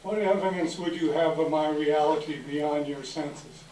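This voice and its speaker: Stern phantom acted by a man, solemn and ominous on stage, high but mellow, heard from a distance. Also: steady tone, moderate pace, lightly acted. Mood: grim, mournful. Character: mournful, stern male ghost of a dead business partner. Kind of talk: readers theater stage reading of a classic story. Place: indoor live event room. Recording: echoey room, distant mic, background noise.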